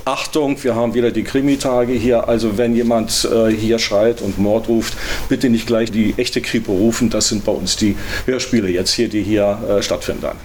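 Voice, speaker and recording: A man working in a hotel gives unscripted interview answers in a hesitant, halting way. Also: on the low side, steady tone, darkish tone, a little hiss or hum.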